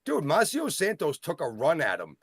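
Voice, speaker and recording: A man with a Boston accent co-hosting a casual wrestling review, talking in a rambling, excitable way and often cutting himself off. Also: on the high side, moderate pace, slightly rough, dry recording, clean studio sound. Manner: rambling, self-interrupting, excitable. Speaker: wrestling show co-host.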